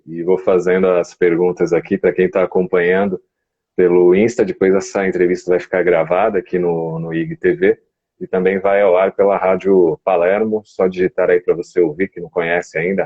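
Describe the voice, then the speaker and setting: A man hosting a live online interview in a relaxed, informal way. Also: sits low, normal speed, breathy edge. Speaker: host. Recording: dull lo-fi live stream audio.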